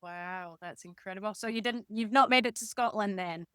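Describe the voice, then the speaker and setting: A woman hosts a conversational podcast interview in a medium-pitched voice. She is responsive and encouraging with her questions, and the sound is dry with hardly any room in it. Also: moderate pace, a bit bright, smooth, dry recording.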